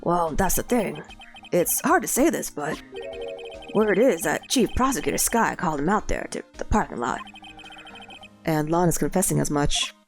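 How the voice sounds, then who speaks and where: Woman doing a goofy loyal cop guy, says pal a lot, lowish voice, swoopy, kinda stuffy from a cold. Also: sing-song, normal speed, soft background music. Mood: earnest. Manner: folksy, calls people pal. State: sick with a cold.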